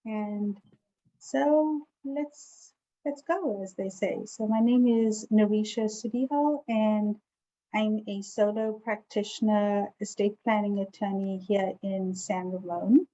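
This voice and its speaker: A woman's voice: medium pitch, steady tone, takes it slowly, dark tone, a little hiss or hum. A woman with a South African accent giving an informal, explanatory online seminar talk in a conversational way. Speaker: presenter, estate planning attorney. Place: online video call with virtual background. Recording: dull lo-fi video call sound.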